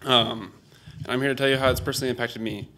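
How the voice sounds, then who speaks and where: A man's voice: mid-range voice, steady tone, quick pace, sharp tone. A young man, a college sophomore, giving an earnest prepared speech about his own path to college. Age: young adult. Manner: earnest, personal storytelling. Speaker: student speaker.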